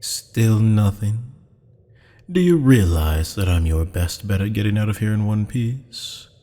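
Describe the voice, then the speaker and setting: Low, husky, slow demon tempter, acted by a man. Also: dark tone, heavily acted. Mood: puzzled, intrigued, then coaxing, teasing. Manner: slow, teasing, purring pet names, half-whispers. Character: male demon, teasing, flirtatious, menacing, possessive captor. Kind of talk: ASMR roleplay acting. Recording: long hall-like reverb.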